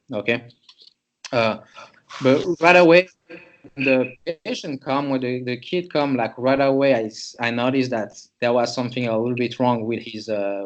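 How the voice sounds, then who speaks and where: A man's voice: medium pitch, muffled recording. A man from Switzerland, a chiropractor, presents a patient case to students in a loose, conversational way, thinking aloud as he goes.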